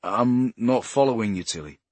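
A man playing a gruff, blunt, wry police detective in an audiobook, terse and dry, with a husky voice. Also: mid-range voice, expressive, moderate pace, a little mellow, no room sound. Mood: puzzled.

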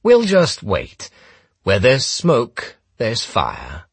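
A man's voice: low-pitched, very animated, moderate pace, a bit bright, slightly rough, no echo, full character voice. A man voicing a smug, menacing male villain for an audiobook, with a commanding, sneering delivery. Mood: cold menace. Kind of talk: audiobook character dialogue.

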